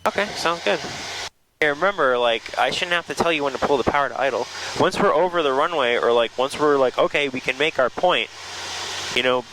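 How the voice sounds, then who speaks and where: A man working as a flight instructor, giving relaxed, casual coaching to a student pilot in a small plane's cockpit. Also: medium pitch, a little fast. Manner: relaxed, coaching, talks in short bursts. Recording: engine noise in small cockpit.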